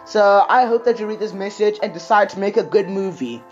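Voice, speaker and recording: A woman's voice: medium pitch, a little fast, a little mellow, distant mic, highs cut off. Female video host, rambling and exclamatory, recorded off-mic in an echoey room with music underneath. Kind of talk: casual opinionated video commentary.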